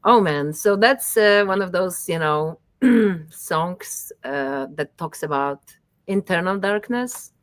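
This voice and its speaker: A woman whose first language is Polish, talking casually as an interview guest, halting with many fillers. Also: fairly low pitch, no echo. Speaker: musician guest.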